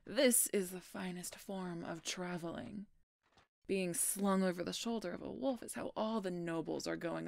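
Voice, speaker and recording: A woman playing a gruff, protective female werewolf knight in a roleplay audio drama, teasing and stern as she speaks to the listener. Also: sits low, heavily acted. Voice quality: husky, gravelly.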